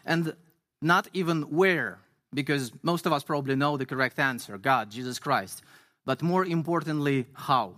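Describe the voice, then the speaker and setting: A man from Ukraine, an English speaker, preaching a sermon earnestly and reading scripture slowly with emphasis. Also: a little high, a bit bright. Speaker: guest pastor.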